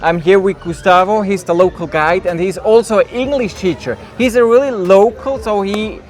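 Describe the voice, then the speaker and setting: A man's voice: sits high, normal speed. A man hosting a travel interview outdoors, asking friendly, simple questions with some restarts. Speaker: travel vlog host interviewing a guide. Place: outdoors on stairs in a city neighborhood.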